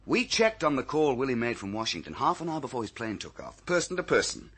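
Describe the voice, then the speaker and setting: An actor playing a shrewd, sardonic investigator in an old radio drama, dry, commanding and raspy. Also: male voice, medium pitch, very animated, quick pace, a bit bright. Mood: accusing. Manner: dry, clipped, accusing.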